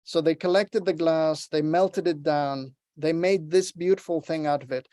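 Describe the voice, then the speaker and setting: A man's voice: on the high side, steady tone, normal speed, warm tone, no room sound, clean studio sound. A man from Lebanon giving an online workshop talk, earnest and encouraging as he tells a story over a video call. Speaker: workshop presenter.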